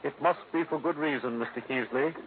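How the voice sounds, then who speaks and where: A man's voice: mid-range voice, fairly quick, lightly acted. An actor playing an old furniture craftsman, stiffly formal and fussy, in a 1950s radio drama. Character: proper, fussy old male furniture craftsman, easily shocked. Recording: noisy, narrow old radio recording.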